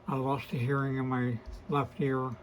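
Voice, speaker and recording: A man in his sixties with a hoarse, weakened voice, hosting a casual to-camera vlog and talking in a hesitant, apologetic way with many fillers and restarts. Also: mid-range voice, level tone, moderate pace, a little hiss or hum. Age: 60s. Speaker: host of a beard channel.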